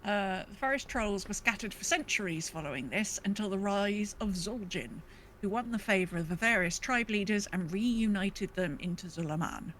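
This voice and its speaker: A woman co-hosting a podcast, reading a game lore recap casually with wry, deadpan asides. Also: mid-range voice, normal speed, low-quality recording. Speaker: podcast co-host. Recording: faint background noise.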